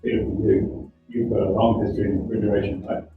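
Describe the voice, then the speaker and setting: A man's voice: fairly low pitch, little pitch movement, gravelly. A middle-aged man with a New Zealand accent hosting a live-streamed panel chat, filling his speech with ums and uhs. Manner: halting, many ums and uhs. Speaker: host. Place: teaching workshop at a technical institute. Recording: echoey room, distant mic, dull sound, music behind.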